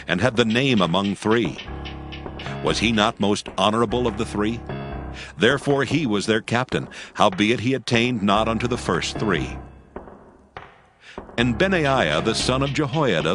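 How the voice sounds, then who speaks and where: A man narrating a dramatized scripture as scripted audio, with solemn, measured storytelling in archaic phrasing. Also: on the low side. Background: music.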